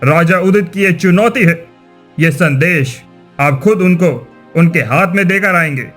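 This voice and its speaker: A man's voice: sits high, unhurried, a bit bright, faint music behind. A man voicing a wicked, power-hungry king in a cartoon, commanding and boastful. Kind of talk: dramatic cartoon dubbing.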